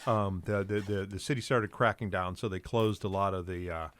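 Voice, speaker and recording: A man's voice: low voice, fairly even intonation, normal speed, fairly bright tone. An older man, a guest comedian, telling rambling stories in a casual podcast chat with lots of fillers and restarts.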